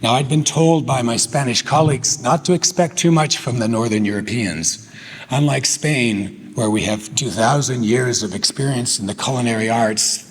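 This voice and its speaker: An older man from the United States giving a humorous, self-deprecating tribute speech, with many filler pauses. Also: medium pitch. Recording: long hall reverb, faint noise.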